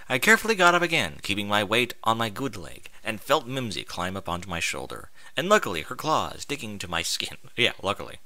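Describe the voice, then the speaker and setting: A man reading a first-person story aloud in an expressive, informal way, as if acting out the narrator's thoughts. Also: fairly low pitch, normal speed, no echo. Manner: expressive, informal, sweary interjections. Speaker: fan fiction reader.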